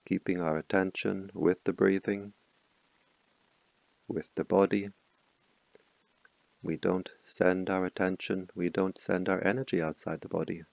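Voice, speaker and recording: A man with a deep voice full of gravelly fry guides a meditation. He speaks softly and slowly, leaving long pauses between short, calm instructions. The sound is close and dry, with very little room on it. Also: no echo, rough recording. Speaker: meditation teacher.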